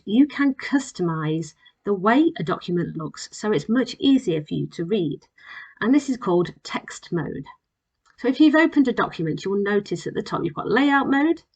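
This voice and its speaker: A woman presenting an instructional software demonstration as a voice-over, explaining plainly and step by step. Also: medium pitch, breathy edge, poor audio. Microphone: voice-over on a screen recording.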